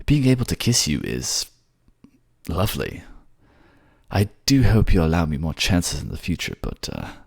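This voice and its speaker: A courtly merman prince played by a man for a romantic fantasy audio drama. His voice is very deep and gravelly, with lively melodic swings. He is affectionate, polite and modest, with bashful hesitations. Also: moderate pace, no room sound, clean studio sound, exaggerated voice. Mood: bashful, amused.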